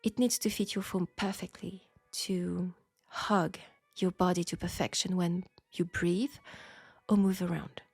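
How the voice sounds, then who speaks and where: A woman playing a candid, proud French seamstress in a steampunk audio drama, speaking with a French accent in a formal, deliberate way. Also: on the low side, steady tone, creaky, darkish tone, breathy edge, no echo, faint music behind, heavily acted. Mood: polite, businesslike. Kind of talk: intimate scripted audio roleplay.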